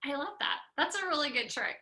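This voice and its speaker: A woman's bright, fairly high voice rings with noticeable room echo. She hosts a casual interview, speaking with open enthusiasm and encouragement. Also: expressive, fairly quick. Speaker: interviewer, host. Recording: roomy, echoing sound.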